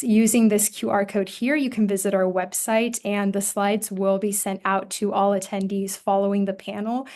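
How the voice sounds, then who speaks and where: A woman career coach welcoming attendees to an online panel, upbeat and steady with frequent fillers, on a video call. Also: mid-range voice. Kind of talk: event welcome and announcements. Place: home or office on a video call.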